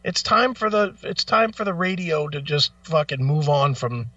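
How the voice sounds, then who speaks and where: Male talk host, high voice, profane and exasperated opinion delivery, dull dry recording slightly off-mic. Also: expressive, moderate pace, sharp tone, dry recording, distant mic. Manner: blunt, profane, exasperated, emphatic. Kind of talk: opinionated casual radio talk.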